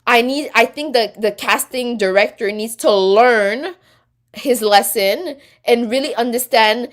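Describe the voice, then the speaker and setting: A woman's voice: on the high side, measured pace. A woman hosting a casual live stream, reading viewer comments aloud and chatting back. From Quebec. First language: French. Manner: chatty, reads comments aloud and answers them.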